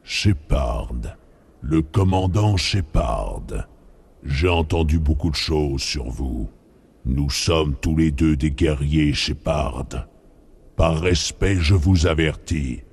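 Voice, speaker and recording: A man playing a blunt, menacing alien bounty hunter in a video game, with a husky, gravelly voice and a slow, threatening delivery. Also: rumbling low, darkish tone, exaggerated voice. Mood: grave, measured. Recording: music behind the voice.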